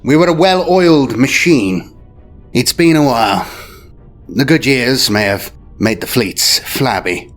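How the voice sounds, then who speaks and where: A man acts out a commanding male admiral prince of a space navy, shrewd and battle-seasoned. The voice swings widely in pitch, with clipped declamatory phrases and weighty pauses, over music in a science fiction audio story. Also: lively intonation, fairly bright tone. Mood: shrewd, resolute. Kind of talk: dramatic audio story character. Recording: music behind the voice.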